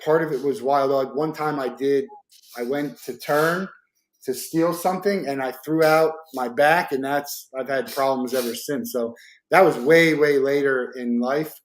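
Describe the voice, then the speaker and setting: A man's voice: mid-range voice, measured pace, roomy sound, a little hiss or hum, rough recording. Easygoing male host in his forties. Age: 40s. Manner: easygoing, rambling questions with hesitations. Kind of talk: casual interview chat.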